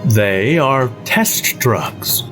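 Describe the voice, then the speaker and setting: A man acts out a cold, greedy doctor in a horror audio drama. The voice is mid-pitched with lively swings, calm yet commanding, over loud music. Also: very slow. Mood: cold, sly. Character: cold, greedy male asylum doctor running experiments.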